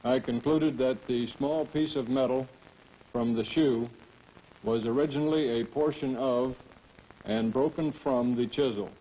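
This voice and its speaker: A man's voice: medium pitch, measured pace, slight background noise. A man giving formal, precise scripted testimony as a forensic tool mark examiner. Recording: muffled 1960s film soundtrack.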